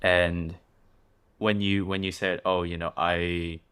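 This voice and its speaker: A man with a very deep voice hosts a conversation. He speaks in a measured, thoughtful way. He often repeats words as he shapes his questions. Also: flat delivery, a bit bright, clean tone. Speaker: podcast host. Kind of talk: interview conversation.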